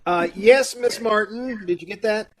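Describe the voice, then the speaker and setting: A man's voice: sits high, measured pace, low-quality recording. A man playing a fussy, salesman-like bank loan officer in an old-time radio comedy.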